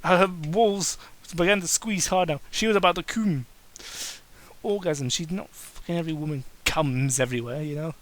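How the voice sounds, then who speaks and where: A man's voice: on the high side, moderate pace, slight background noise. A man doing a mocking dramatic reading, breaking into exasperated, sarcastic, sweary asides. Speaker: amateur reader and commentator.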